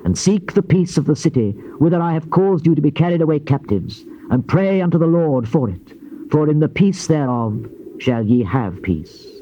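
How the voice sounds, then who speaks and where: An actor playing a grave Old Testament prophet in a dramatized scripture reading, declaiming in measured, archaic phrases. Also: male voice, a little high, lively intonation, warm tone, close to an everyday voice. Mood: solemn, assuring. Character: solemn male Old Testament prophet proclaiming divine judgment. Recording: dull, noisy old cassette tape.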